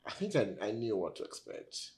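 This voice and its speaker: A man from Tanzania in casual conversation, relaxed, often repeating words as he thinks. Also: lowish voice, moderate pace.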